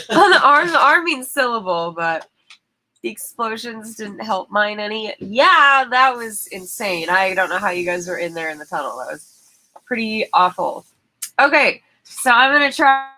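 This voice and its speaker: A chatty woman with a stuffy, allergy-clogged nose, hosting a live stream. Her voice is bright and clear at a middle pitch. She talks into a phone mic and laughs easily between apologies. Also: animated, normal speed, low-quality recording. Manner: chatty, laughs often, apologetic about tech trouble. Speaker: livestream host. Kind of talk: casual chat. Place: indoor studio.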